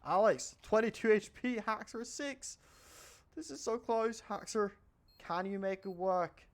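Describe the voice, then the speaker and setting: Excitable man casting a shooter game. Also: a little slow, a little hiss or hum. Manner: rises in pitch and volume during fights, calm between rounds. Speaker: esports caster. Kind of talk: live play-by-play commentary. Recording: game gunfire audible behind.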